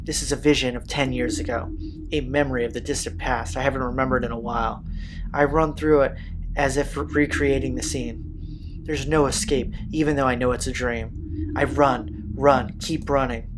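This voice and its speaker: A man's voice: medium pitch, steady tone, normal speed, noisy background. A man hosting a playthrough, reading a visual novel's narration aloud and now and then stumbling on words. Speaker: video game playthrough host. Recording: music behind the voice. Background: game soundtrack music.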